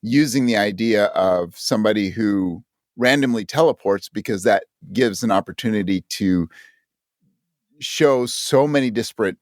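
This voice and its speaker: Low-voiced man, close and dry. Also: normal speed, no echo. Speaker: podcast guest, audio drama creator. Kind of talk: reflective interview talk.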